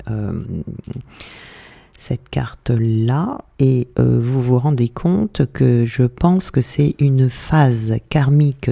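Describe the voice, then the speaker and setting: Gravelly dark-voiced man reading tarot. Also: mid-range voice. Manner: hesitant, many fillers, reassuring. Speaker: tarot card reader. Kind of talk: spoken tarot reading to viewers.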